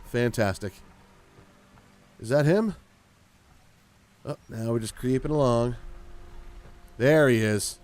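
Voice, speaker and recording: A man's voice: medium pitch, slow, pauses often, darkish tone. A man hosting a gaming video with casual, rambling commentary, thinking aloud as he plays. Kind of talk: casual let's play commentary.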